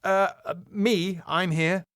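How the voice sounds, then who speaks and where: A man's voice: high-pitched, fairly even intonation, slow pace, a bit bright, clean tone, dry recording, studio quality, voice pushed up. A man voicing a meek, flustered male venue manager with a hesitant, pleading manner in a drama reading. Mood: sheepish.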